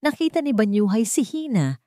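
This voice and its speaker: A woman's voice: on the high side, sing-song, brisk, dark tone, no room sound, studio quality. A woman reading a scripted romance story as a dramatic narrator.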